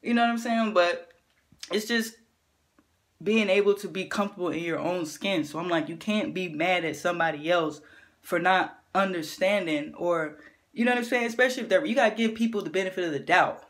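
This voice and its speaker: A woman with a deep voice gives an animated opinion rant to camera, often checking in with her listener. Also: a little fast. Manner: animated, keeps asking "you know what I'm saying". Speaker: vlogger.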